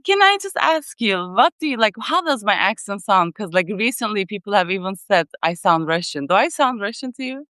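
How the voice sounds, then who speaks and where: A woman's voice: mid-range voice, very animated, a little fast, hardly any pauses, fairly bright tone, no room sound, studio quality. A woman from Turkey with a Turkish accent, a guest writer chatting casually on a live video call, thoughtful and searching for words.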